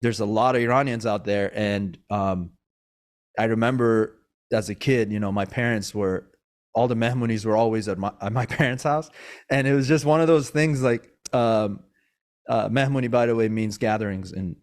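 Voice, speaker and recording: A man's voice: on the low side, normal speed. Rambling, friendly male podcast host. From Iran, raised in Maryland. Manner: rambling, with many fillers and restarts. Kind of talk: casual interview chat. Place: Sacramento, California.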